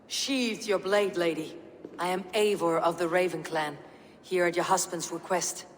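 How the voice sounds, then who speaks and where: An actress playing a stern, weary young Viking warrior leader in a video game, speaking bluntly and solemnly. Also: female voice, lowish voice, steady tone, unhurried, slight background noise, lightly acted. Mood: firm, dry. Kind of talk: video game cutscene acting.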